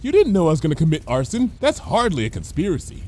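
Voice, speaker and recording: A man voicing a brash, carefree female pony troublemaker in a chatty, animated story reading. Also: a little high, fast talker, voice pushed up. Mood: blithely arguing. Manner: breezy, chatty, blithely unconcerned. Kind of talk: animated fan fiction reading.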